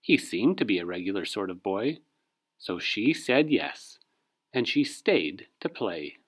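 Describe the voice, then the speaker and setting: Slow, very animated male storybook reader. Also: fairly low pitch. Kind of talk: expressive children's read-aloud narration.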